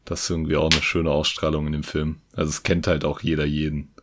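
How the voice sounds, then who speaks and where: A man in a relaxed, reflective casual chat about a film. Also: a bass voice, quick pace, noisy background. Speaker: podcast co-host.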